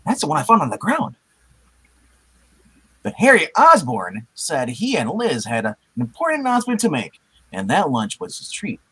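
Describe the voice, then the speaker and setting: A man's voice: fairly high pitch, wildly swooping pitch, normal speed, pushed and bright, slightly rough, full character voice. A man voicing a young, wisecracking, chummy everyman hero in a live comic-book reading. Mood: wry musing. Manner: jokey, chummy.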